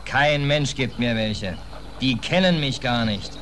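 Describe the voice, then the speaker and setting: An actor in an old radio play, playing a down-and-out stateless American sailor who talks in a colloquial, sardonic grumble. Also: male voice, medium pitch, takes it slowly, fairly bright tone. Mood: bitter, resigned. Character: down-and-out stateless American sailor, stubborn, wry drifter. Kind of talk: 1940s German radio play acting. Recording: noisy old radio recording, harbour ambience.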